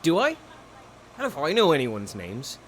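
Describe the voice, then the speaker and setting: A man playing a surly, sarcastic small guy with a gruff, curt manner in an audio drama. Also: fairly high pitch, wildly swooping pitch, normal speed, a little hiss or hum. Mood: gruff, impatient. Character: Styx, surly, short, intimidating male best friend.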